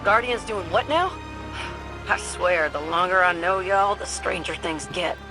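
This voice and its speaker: Folksy Southern pilot over music. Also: female voice, lowish voice, animated, measured pace, rough recording. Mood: wry, alert. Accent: Southern American. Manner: folksy, informal. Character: plucky, folksy young woman pilot. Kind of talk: cinematic game voice acting. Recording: music bed behind voice.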